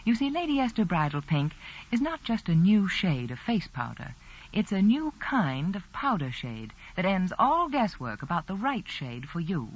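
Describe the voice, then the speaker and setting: A woman delivering a scripted 1940s radio advert as a spokeswoman, persuasive and expressive. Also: fairly low pitch. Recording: muffled vintage radio broadcast.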